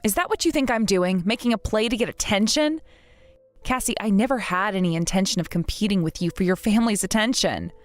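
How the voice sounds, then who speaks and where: A woman in her thirties voicing a patient, polite bride-to-be who speaks in a soft, measured, restrained way. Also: medium pitch, no room sound, faint music behind, close to an everyday voice. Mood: hurt. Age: 30s.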